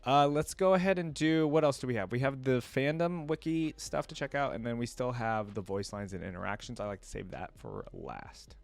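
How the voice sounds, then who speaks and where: A man giving casual gaming reaction commentary as a host, rambling and thinking out loud as he goes. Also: medium pitch, lively intonation, moderate pace, vocal fry, few pauses.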